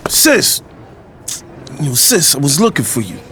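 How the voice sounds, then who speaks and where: A man's voice: on the high side, huge pitch swings, unhurried, sharp tone, a little hiss or hum, exaggerated voice. A man acting in an audio drama as a stressed, evasive young American brother, terse and urgent.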